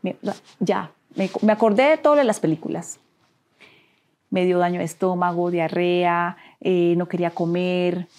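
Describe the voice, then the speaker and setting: A woman's voice: on the low side, normal speed, a little mellow. Earnest Colombian woman recounting hardship. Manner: earnest, repeats phrases for emphasis. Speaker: interview guest. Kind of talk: emotional personal testimony.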